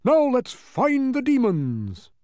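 A blustering, cowardly storybook bug, acted out by a man. The voice is pushed high and animated, full of loud false bravado. It is recorded close and dry. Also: slow, no echo, full character voice. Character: cowardly, blustering male humbug insect.

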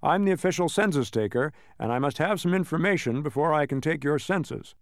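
A man doing a brisk fussy little census taker. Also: fairly high pitch, lively intonation, no echo, studio quality, voice pushed up. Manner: brisk, rattling off long lists.

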